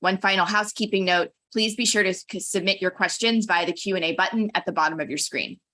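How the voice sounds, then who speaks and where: A woman hosting an online talk, reading a measured, formal introduction from a script. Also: mid-range voice, sharp tone. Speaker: center director introducing a speaker. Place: online webinar.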